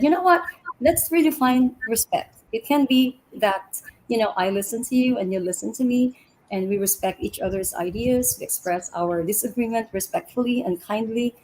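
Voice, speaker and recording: Filipino-born woman teacher, earnest, middle pitch, bit of faint noise behind her. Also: a little mellow, rough recording. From Philippines. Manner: earnest, repeats words when starting sentences. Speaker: elementary school teacher. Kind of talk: guest on a podcast panel.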